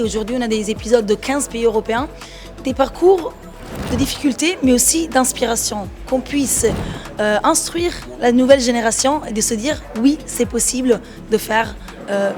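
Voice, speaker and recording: A woman in an interview, explaining her work in a measured way. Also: fairly high pitch, a little mellow. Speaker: interviewee, podcast founder. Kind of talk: interview answers.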